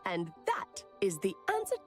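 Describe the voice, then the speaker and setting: A woman's voice: mid-range voice, wildly swooping pitch, soft background music. A woman voicing a playful, grand, teasingly evasive mage aunt in an anime-style video game. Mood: storytelling. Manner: theatrical, teasing, drawn-out emphasis.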